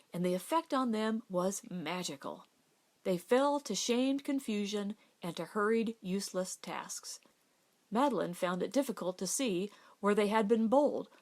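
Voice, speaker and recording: Woman narrating a novel, medium pitch. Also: animated, measured pace. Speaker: audiobook narrator. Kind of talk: scripted literary narration.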